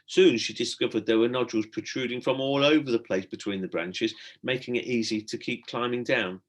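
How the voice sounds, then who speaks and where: A male teacher reads a storybook to children. His voice sits at an average pitch, and the narration is expressive and meant for young listeners. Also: brisk. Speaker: primary school teacher reading aloud.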